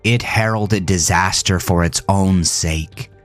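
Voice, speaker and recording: A man narrating a first-person horror audio drama in a somber, dramatic manner with deliberate phrasing. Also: low voice. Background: music.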